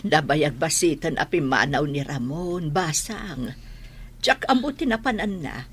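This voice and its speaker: A woman playing an elderly, plain-spoken village grandmother in a radio drama, worried, with a husky voice and a Filipino accent. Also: low-pitched, lively intonation, a little slow, heavily acted. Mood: worried, resigned.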